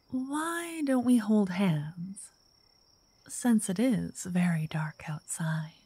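A woman playing a wealthy, romantic vampire writer in an intimate ASMR roleplay, speaking softly and breathily, often whispering, with an elegant, flirtatious manner. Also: mid-range voice, breathy edge, no echo, full character voice. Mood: intrigued, intimate. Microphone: close microphone in a dry, quiet space.

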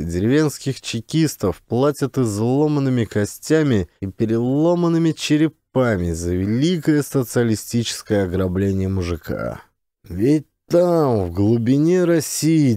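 A man's voice: mid-range voice, warm tone, dry recording, clean studio sound. A man reading a literary memoir as a scripted audiobook narration.

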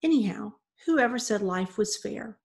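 A woman voicing a tiny older housewife who is steady, stern and no-nonsense, in a storybook reading. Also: medium pitch, measured pace, vocal fry. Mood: dry, steady. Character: tiny, steady, firm older custodian's wife.

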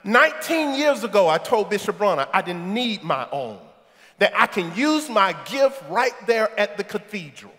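Gravelly high-voiced man preaching loudly. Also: big pitch swings, moderate pace. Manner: preaching, shouting at peaks, quips to the congregation. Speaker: pastor. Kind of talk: sermon. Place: large church sanctuary. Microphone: headset mic. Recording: echoey sanctuary with congregation and organ. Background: congregation and soft music.

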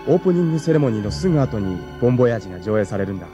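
An actor playing a suave, courteous French film star in a radio drama, speaking politely and gallantly. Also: male voice, mid-range voice, sing-song, rapid-fire, dark tone, soft background music, lightly acted. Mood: charming, confident. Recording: dull lo-fi old radio recording.

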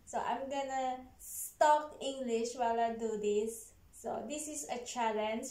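A woman in her thirties from the Philippines with a Filipino accent, whose first language is Tagalog, vlogging casually at home in halting English, giggling and searching for words, recorded by a camera across her living room. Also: on the high side, fairly even intonation, dark tone. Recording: echoey room with faint noise.

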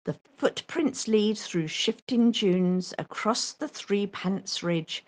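A woman reading a children's picture book aloud with expressive, theatrical delivery. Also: mid-range voice, takes it slowly. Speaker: storyteller.

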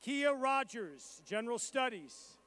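Very high-voiced man reading graduation names. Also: very animated, fairly bright tone, clear, resonant tone. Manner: measured, formal roll-call delivery. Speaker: commencement name reader.

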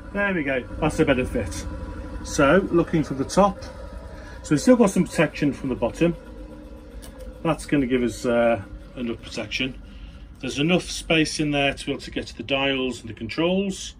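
Man narrating with noisy background. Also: medium pitch. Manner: thinking aloud while working with his hands. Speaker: DIY radio hobbyist vlogger. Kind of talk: casual hands-on build narration. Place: small wooden workshop, indoors. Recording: clear background noise, room ambience.

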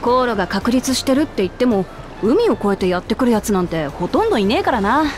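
A woman voicing a brash, tomboyish young princess in a game, speaking in a rough, boyish way. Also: a little high, sing-song, fast talker, soft background music. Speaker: princess character in a game cutscene.

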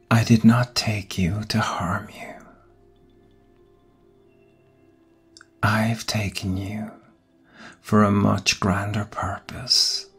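A man playing an ancient vampire captor in a whispered ASMR roleplay, soothing yet menacing, coaxing softly and deliberately. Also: on the low side, exaggerated voice. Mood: soothing, ominous. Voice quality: breathy whisper. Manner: slow, hushed, deliberate, coaxing. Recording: close voice over background music. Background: music.

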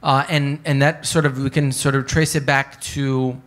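A man's voice: medium pitch, flat delivery, normal speed, a bit bright. A man in his thirties giving a conversational academic talk on a panel, speaking into a handheld microphone in a hotel conference room, with many fillers and hedges.

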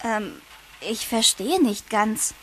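A woman's voice: on the high side, lively intonation, slow, a bit bright, slight background noise, rough recording. An actress playing a reserved, modest schoolgirl in a children's audio drama, hesitant and downplaying. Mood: reluctant. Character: reserved, modest new schoolgirl, reluctant to confide.

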